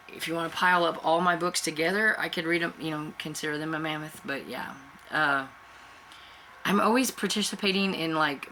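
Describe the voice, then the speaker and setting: Bright-voiced woman with a stuffy cold. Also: low voice, slight background noise, rough recording. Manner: rambling and chatty, with many fillers and self-restarts. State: stuffy-nosed with a cold, throat dry and raspy. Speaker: book channel host. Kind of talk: casual chat to camera.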